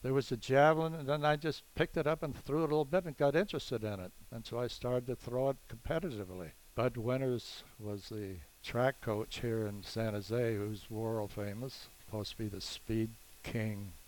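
A man in his nineties who grew up in California, recalling his past in a plain, unhurried and modest way. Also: medium pitch, low-quality recording. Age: 90s. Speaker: interviewee, veteran. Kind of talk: casual recollection.

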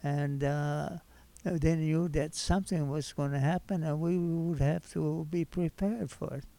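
A man's voice: a little high, fairly even intonation, a little slow, vocal fry, warm tone. A man in his nineties from Hawaii, an Army veteran reminiscing in an unscripted interview. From Hawaii, United States. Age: 90s. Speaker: war veteran, interview guest.

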